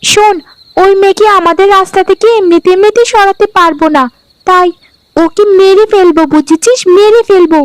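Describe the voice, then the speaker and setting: A woman's voice: very high voice, steady tone, moderate pace, dry recording, low-quality recording. A woman voicing a cruel, scheming young sister-in-law in a fairy-tale cartoon, with a sneering, menacing manner. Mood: scheming, impatient. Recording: background music under the voice.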